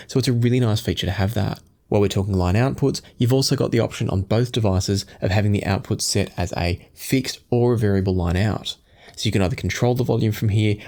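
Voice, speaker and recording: A man in his forties presenting a product review to camera in a measured, explanatory way. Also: fairly low pitch, dark tone. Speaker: audio gear reviewer and host. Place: small home studio room indoors.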